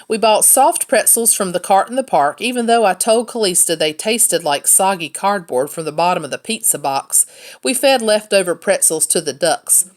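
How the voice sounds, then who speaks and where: A woman reading a children's novel aloud as a first-person storyteller. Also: lowish voice. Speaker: read-aloud narrator, teacher.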